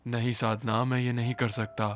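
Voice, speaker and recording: A man's voice: medium pitch, level tone, normal speed, natural voice. A man voicing a modest, honourable young uncle in a cartoon story, earnest and level. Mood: earnest, regretful. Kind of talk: Hindi cartoon story voice acting.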